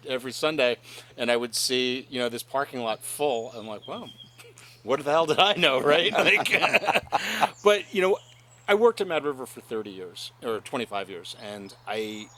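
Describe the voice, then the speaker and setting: A middle-aged man hosts a friendly interview, talking in an animated, chatty way and laughing often. His voice sits at an ordinary pitch, with faint room noise behind it. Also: moderate pace. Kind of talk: casual interview.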